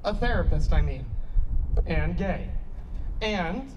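A man's voice: high-pitched, animated, slow, highs cut off. A man playing an awkward, defensive older character in a staged reading, hesitant and wry as he backpedals. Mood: hesitant, earnest. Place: outdoor stage against a block wall. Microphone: handheld stage microphone, heard from afar. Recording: distant handheld mic, noticeable background noise.

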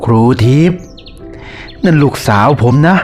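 A man's voice: unhurried, darkish tone, faint music behind, natural voice. A man playing a grieving middle-aged father in a cartoon ghost story, sombre and plain-spoken. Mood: grave, grieving.

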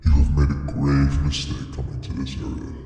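A man voicing a menacing evil ghost, slow and theatrical, pitched down with layered reverb and echo. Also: monotone, over music. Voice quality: deep, rumbling. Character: menacing evil ghost taunting a trespassing traveler.

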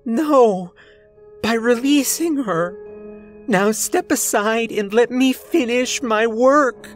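A performer voicing a deranged, desperate and violent man in a dramatic horror story, agitated and hoarse. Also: takes it slowly, soft background music, natural voice. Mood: angry, threatening. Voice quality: hoarse shouting. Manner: agitated, booming, pleading.

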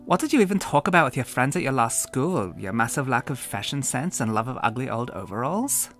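A man's voice: medium pitch, sing-song, brisk, fairly bright tone, soft background music. A man voicing a catty, mocking teenage schoolgirl who drawls and stresses her words. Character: catty mean-girl teen schoolgirl.

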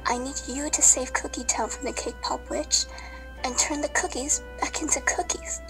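A woman playing a loving mother cookie in a children's radio play, soft, earnest and encouraging. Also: sits high, steady tone, moderate pace, music in the background, poor audio. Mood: urgent, hushed.

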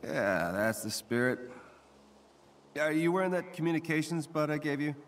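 A middle-aged man with an American accent playing a weary scientist in a video game, dry, halting and understated. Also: on the high side, expressive, a little hiss or hum, lightly acted. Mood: dry, hesitant. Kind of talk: naturalistic video game acting.

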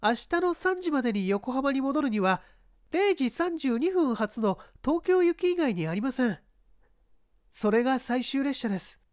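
A woman acting young female witness, high-pitched, polite, formal, composed, dry close sound, mystery audiobook. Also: sing-song, measured pace, a little mellow, dry recording. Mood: earnest, explaining. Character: composed young woman giving testimony to police. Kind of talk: dramatized mystery story reading.

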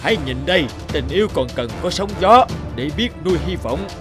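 Old supreme deity, stern, grand, scolding, lively swooping intonation, cartoon fable, music behind. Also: male voice, high-pitched, fairly quick. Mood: angry. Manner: grand, admonishing. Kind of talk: animated fable dialogue.

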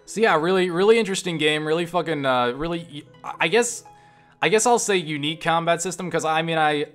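A man giving casual, profane gameplay commentary, enthusiastic but halting, often restarting his phrases. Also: fairly high pitch, a bit bright. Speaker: gamer and game reviewer. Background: game music and sound.

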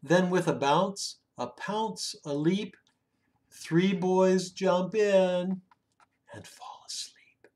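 Slow, expressive older man reading aloud. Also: high voice. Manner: dramatic, with drawn-out words and hushed phrase endings. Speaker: children's story reader. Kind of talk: expressive picture-book read-aloud. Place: small room indoors at home.

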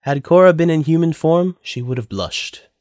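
A man's voice: a little high, animated, moderate pace, darkish tone, dry recording, clean studio sound. A man narrating a scripted story as the narrator of an audio drama.

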